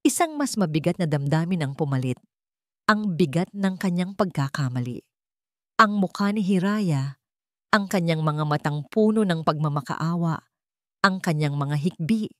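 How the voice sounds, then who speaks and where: Low-pitched woman narrating a dramatic love story. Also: darkish tone, no room sound, studio quality.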